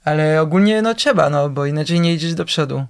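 Young man, smooth clear tone, hesitant speech, many fillers. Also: fairly high pitch, quick pace. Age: young adult. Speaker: guest, musician. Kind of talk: casual interview talk.

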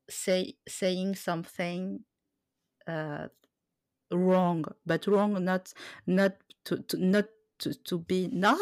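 A woman hosting a podcast, talking slowly and haltingly and restarting her words often. Her voice sits very low for a woman, and the sound is dry and close. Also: no echo. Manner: hesitant, halting, many restarts. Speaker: podcast host. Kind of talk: casual podcast conversation.

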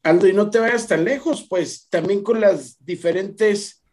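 A man's voice: high voice, unhurried. A man, a professor chatting in an anecdotal way with frequent fillers. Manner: lively, anecdotal, many fillers. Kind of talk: conversational discussion.

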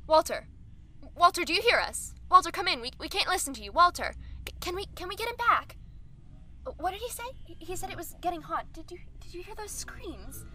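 An actress playing a radio anchor with a composed, reassuring broadcaster delivery. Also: female voice, very high voice, animated, brisk, sharp tone, full character voice. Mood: alarmed. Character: calm female radio anchor, composed then alarmed. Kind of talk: radio drama acting.

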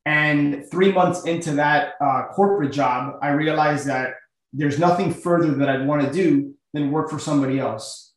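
A middle-aged man from Miami talks as a podcast guest. His voice is fairly high, and he tells his story earnestly and informally. The recording is heavily echoey and roomy. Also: normal speed. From Miami, United States. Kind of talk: casual personal storytelling.

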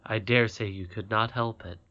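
A calm, wise and ancient great-great-grandmother from a bedtime storybook, read by a man in a voice close to his own. She speaks gently and reassures in a measured way. The sound is dull and lo-fi. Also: on the low side, lightly acted. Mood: tender.

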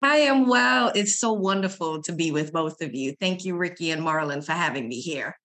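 A woman's voice: lowish voice, expressive, moderate pace, fairly bright tone. A woman in her forties from Trinidad and Tobago, speaking conversationally as an interview guest. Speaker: podcast guest, HR consultant and coach. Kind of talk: conversational interview, introducing herself.

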